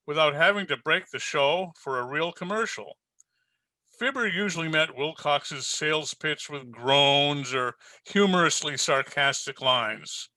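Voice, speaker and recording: Very bright, crisp-edged male radio announcer. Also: fairly high pitch, no room sound. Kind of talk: scripted old-time radio narration. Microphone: video-call recording.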